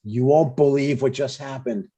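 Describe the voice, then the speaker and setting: A man reads the part of an older father in a comedy script. His voice is soft-edged and husky. He chats breezily and needles gently, stubborn in an everyday, natural way. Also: medium pitch, normal speed, natural voice. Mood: pleased, chatty.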